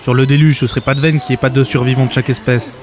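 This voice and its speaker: A man's voice: mid-range voice, little pitch movement, racing, close to an everyday voice. An actor playing an exasperated, sarcastic everyman who grumbles in colloquial speech in a comedic audio drama.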